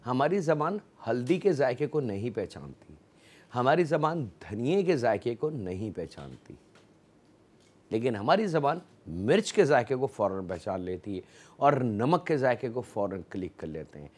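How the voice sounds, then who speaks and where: Male TV cooking host, Pakistani Urdu accent, middle pitch, patient step-by-step explainer, light frying sizzle behind. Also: big pitch swings, moderate pace, a little mellow, husky. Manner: addresses viewers politely as janab, explains step by step.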